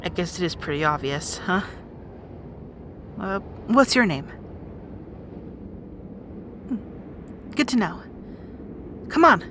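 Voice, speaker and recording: Woman playing a brisk, wry young rat princess. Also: lowish voice, very animated, fairly bright tone, a little hiss or hum, full character voice. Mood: bargaining, wry. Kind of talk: audio roleplay drama, speaking to the listener.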